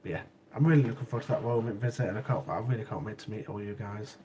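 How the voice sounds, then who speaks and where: A man's voice: lowish voice, rapid-fire, mellow, slight background noise. A man, hosting a casual livestream and chatting with viewers while sniffly from a cold. He repeats himself often and laughs easily. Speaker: livestream host. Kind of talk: casual chat with live chat viewers.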